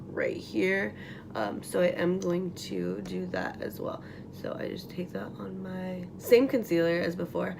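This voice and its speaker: Young woman, raspy, low-ish voice, gravelly fry, casual rambling tutorial, noisy home recording. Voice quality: raspy morning voice. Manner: rambling, lots of likes and ums, trailing into whispers. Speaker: beauty vlogger. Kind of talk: casual makeup tutorial chat. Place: at home, getting ready.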